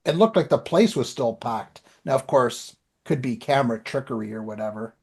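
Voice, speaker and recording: A man's voice: mid-range voice, lively intonation, normal speed, husky. A man telling anecdotes in a rambling, informal way as a podcast co-host. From Canada. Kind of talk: casual chat, anecdotes.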